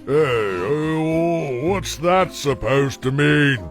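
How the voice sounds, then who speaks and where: A man's voice: a little high, very slow. A man voicing a pompous, lazy cartoon dragon king who drawls in a self-important manner. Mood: pompous, imperious. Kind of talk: animated cartoon voice acting. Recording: music behind the voice.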